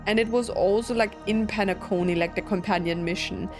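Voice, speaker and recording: A woman streamer talks through a game in an informal, thinking-aloud way. Her pitch sits in the middle, and game music plays clearly behind her casual commentary. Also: brisk. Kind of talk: casual live reaction commentary over gameplay. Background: game music and dialogue.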